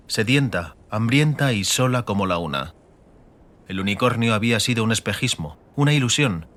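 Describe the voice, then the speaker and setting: A man's voice: lowish voice, a bit bright. A man with an accent from Spain, narrating a fantasy audiobook in a dramatic scripted style. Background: music.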